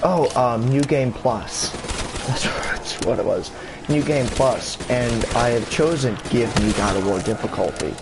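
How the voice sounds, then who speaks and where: A young man casually rambling in a gaming vlog, full of fillers, restarts and casual swearing, his voice jumping higher when he gets excited. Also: measured pace. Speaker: gaming YouTuber. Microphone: console camera mic. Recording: steady background noise.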